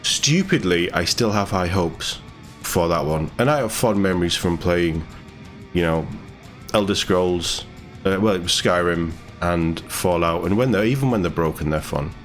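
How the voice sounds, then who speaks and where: A man's voice: sits low, normal speed, faint music behind. A British man presenting gaming news in a casual, sardonic way, mixing read-out text with his own commentary. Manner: sardonic, sweary asides. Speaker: gaming YouTuber.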